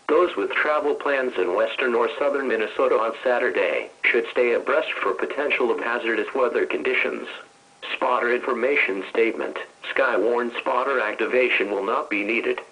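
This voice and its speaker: A man's voice: medium pitch, bright, forceful tone, rough recording. A synthetic American man's voice reading a scripted weather forecast in a formal, impersonal announcing manner. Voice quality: synthetic text-to-speech voice. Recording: weather radio broadcast reception.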